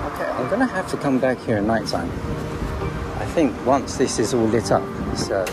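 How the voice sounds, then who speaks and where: A man's voice: medium pitch, sing-song, normal speed. Male travel vlogger musing aloud outdoors. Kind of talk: casual walking vlog commentary. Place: outdoors, walking along a city beachfront. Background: music, traffic and street sounds.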